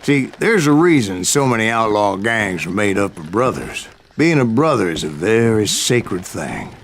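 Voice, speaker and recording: An actor playing a gruff old American gunslinger who narrates his tall tales in a folksy, profane drawl. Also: lowish voice, noisy background. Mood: grave. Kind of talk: scripted first-person storytelling narration. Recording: loud music behind the voice. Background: loud game music.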